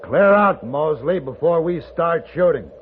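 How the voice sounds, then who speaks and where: A man playing a calm, commanding gunfighter hero in an old-time radio Western. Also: fairly high pitch, unhurried, a little hiss or hum. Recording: narrow-band vintage radio recording with music.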